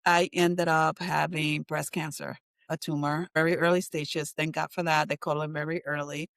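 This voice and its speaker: A woman's voice: low-pitched, little pitch movement, moderate pace, creaky, fairly bright tone, dry recording, studio quality. A middle-aged woman from Puerto Rico, raised in New Jersey, giving candid, conversational answers as an interview guest. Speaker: interview guest, counseling center chief executive.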